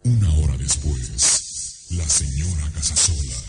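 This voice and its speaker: A man with a Mexican accent narrating a horror radio drama in a dramatic, suspenseful way. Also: very deep voice. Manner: slow, dramatic, suspenseful delivery. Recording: music loud behind the voice. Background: loud horror drama music.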